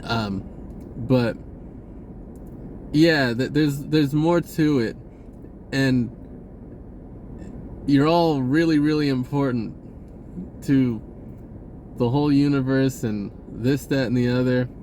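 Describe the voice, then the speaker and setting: A man talking casually in a rambling spiritual monologue, halting and often interrupting himself, in an informal way. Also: mid-range voice, a little mellow, a little hiss or hum. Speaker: spirituality vlogger.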